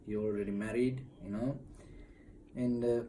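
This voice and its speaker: A man, a Buddhist monk being interviewed in a temple, explaining slowly with many fillers and restarts. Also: medium pitch, fairly even intonation, warm tone, slight background noise. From Sri Lanka.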